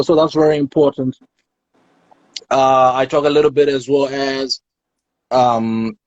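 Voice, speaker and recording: A thoughtful man from Zimbabwe talks through his answers slowly, restarting phrases as he hunts for the right word. His voice sits at a middle pitch. The recording is dull and dry, with no room sound. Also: darkish tone, dry recording. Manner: reflective, searching for words, many restarts. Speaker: podcast guest, poet. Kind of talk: casual interview talk.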